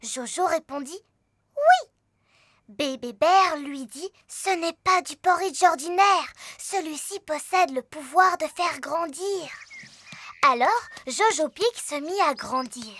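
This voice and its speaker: A performer voicing a confident, bossy, expressive little girl piglet in a children's cartoon dub. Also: high voice, takes it slowly, no echo, exaggerated voice. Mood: storytelling.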